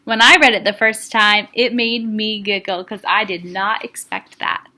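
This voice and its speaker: A woman elementary school teacher chatting to young children in an expressive, reassuring way with simple phrasing. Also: medium pitch, moderate pace. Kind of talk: read-aloud and chat addressed to young children.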